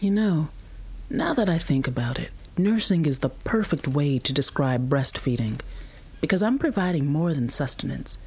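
Husky-voiced, patient young mother, acted by a woman. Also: deep voice, animated, normal speed, a little mellow. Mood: tender, reflective. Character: patient, tired human mother of a nursing infant. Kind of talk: audio drama acting.

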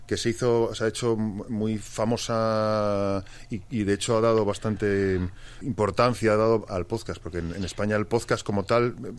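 A man's voice: on the low side, steady tone, moderate pace, smooth. A man, interviewing casually and rephrasing his questions hesitantly as he goes.

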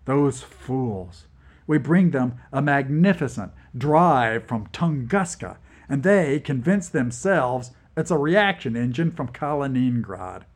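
A man voicing Vlad, a Soviet scientist held prisoner, who rants in a high, shouting voice. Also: expressive, takes it slowly. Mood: bitter. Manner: shrill indignant shouting. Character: Soviet male scientist, furious, shrill ranting prisoner.

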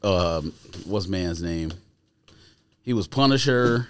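A man's voice: sits low, expressive, slow, clean tone, dry recording. An American man on a podcast panel in casual debate, blunt, contrarian and insistent. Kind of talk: casual chat, friendly debate.

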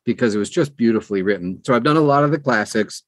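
Older guy, audiobook reader chatting in an interview, mid pitch, careful emphatic pauses, really dry sound. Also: animated, no echo. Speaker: audiobook narrator. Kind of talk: conversational interview.

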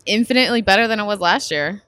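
Lively-pitched woman chatting casually. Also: fast talker, dry recording, studio quality. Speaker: podcaster, guest team member. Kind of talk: casual chat. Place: booth in a very large trade show hall.

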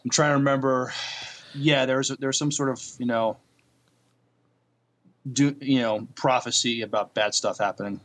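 Halting man co-hosting a casual podcast. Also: medium pitch, fairly even intonation, a bit bright. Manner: halting, repeats words while thinking. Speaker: podcast co-host. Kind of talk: casual review chat about TV shows.

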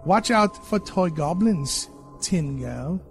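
A man's voice: sits high, animated, takes it slowly, faint music behind, heavily acted. A man doing a mocking ghostly ballerina.

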